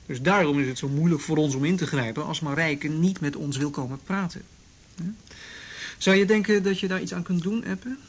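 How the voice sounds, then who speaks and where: A patient police officer played by a male actor in a radio play, with a fairly high male voice. He is courteous and coaxing as he asks careful questions. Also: brisk, close to an everyday voice. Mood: courteous, persuasive. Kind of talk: Dutch radio drama acting.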